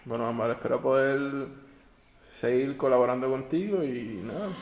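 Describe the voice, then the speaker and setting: A man's voice: mid-range voice, normal speed, darkish tone, slight background noise. A man hosting a podcast, asking his guest questions in a friendly way and leaning on little fillers. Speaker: podcast host. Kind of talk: interview questions. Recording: roomy echo.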